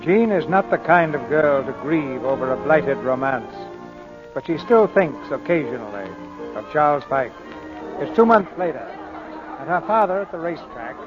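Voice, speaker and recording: A male host narrates a 1940s radio drama in a fairly high voice. Music plays clearly behind him, and the old broadcast sound is muffled. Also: expressive, moderate pace, crowd noise.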